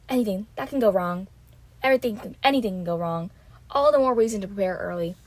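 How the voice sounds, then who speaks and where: A woman's voice: mid-range voice, lively intonation, fairly quick, a little mellow, dry recording, natural voice. A woman playing an eager, chatty and earnest young student who loves cars, in a detective radio drama. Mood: exasperated.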